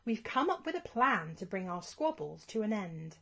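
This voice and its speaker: Woman voicing a timid, kind young knight, lively pitch. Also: normal speed, vocal fry, exaggerated voice. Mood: earnest. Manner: nervous, earnest, eager.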